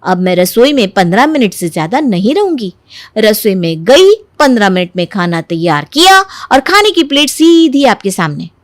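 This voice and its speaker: A woman voicing a young, exasperated daughter-in-law who argues back, in an animated family moral story. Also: mid-range voice, normal speed, no echo, clean studio sound, lightly acted. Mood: sarcastically resolute. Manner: pert, aggrieved, arguing.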